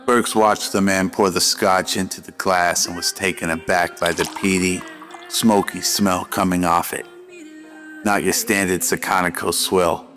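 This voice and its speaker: A man's voice: sits low, little pitch movement, a little slow. A man narrating a scripted noir radio drama. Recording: music behind the voice.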